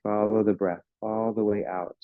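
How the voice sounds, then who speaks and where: A man guiding a yoga class through slow breathing and relaxation, speaking in calm, soothing instructions. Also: lowish voice, dry recording, phone-quality sound. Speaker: yoga teacher.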